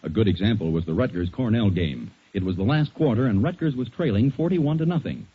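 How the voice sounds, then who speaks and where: A man's voice: on the low side, expressive, a little fast, breathy edge. A man with an American accent announcing in polished, persuasive 1940s radio style, as scripted narration and advertising. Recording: muffled 1940s radio recording.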